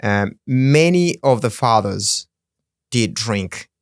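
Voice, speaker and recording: Guest: Swahili-speaking man from the Congo, earnest, quick to laugh, dry studio sound. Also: mid-range voice, animated, no room sound. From Democratic Republic of the Congo. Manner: earnest, laughs often, restarts words. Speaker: podcast guest. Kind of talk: casual interview chat.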